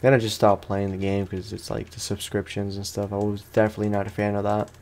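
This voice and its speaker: A man's voice: fairly low pitch, monotone, quick pace, creaky, darkish tone, a little hiss or hum. A man giving casual, rambling, slangy commentary as he plays a video game for his viewers. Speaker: gaming streamer. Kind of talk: casual let's play commentary.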